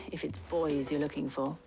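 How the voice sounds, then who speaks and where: A woman's voice: deep voice, flat delivery, normal speed, creaky, over music. A woman playing a cool, sardonic mercenary type in a medieval fantasy game, with a dry delivery. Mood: haughty, teasing. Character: cool, sardonic female companion posing as mercenary commander. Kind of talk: dramatic video game cutscene dialogue.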